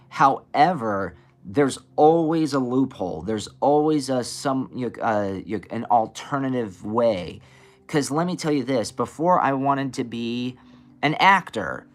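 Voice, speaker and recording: A young man with a raspy voice, a blues and soul singer, talking casually in an interview with many fillers and restarts. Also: medium pitch.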